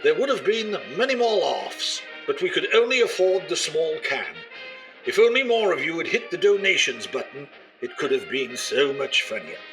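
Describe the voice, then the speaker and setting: Announcer role: formal, deadpan broadcast introduction, highish forceful voice, light music underneath. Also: male voice, moderate pace, rough recording. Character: solemn, deadpan BBC radio announcer introducing a play.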